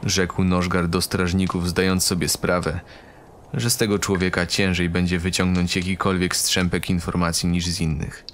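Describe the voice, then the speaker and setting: A man's voice: sits low, little pitch movement, normal speed, a little hiss or hum. A man narrating a scripted fantasy audio drama in a dramatic storytelling style. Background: loud background music.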